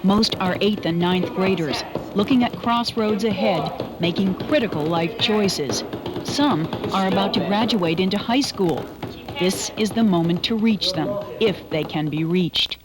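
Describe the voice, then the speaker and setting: A woman narrating a short documentary in a measured, earnest voice-over. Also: medium pitch. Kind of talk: scripted narration. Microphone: studio voice-over.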